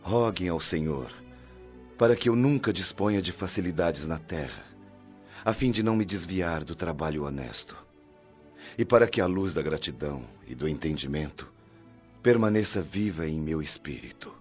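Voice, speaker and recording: Low male voice, solemn loving father spirit, Brazilian accent, radio drama, earnest and grateful, soft music behind. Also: lively intonation, moderate pace, lo-fi sound. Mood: tender, solemn pleading. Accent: Brazilian Portuguese. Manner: solemn, fraternal, formal pleading.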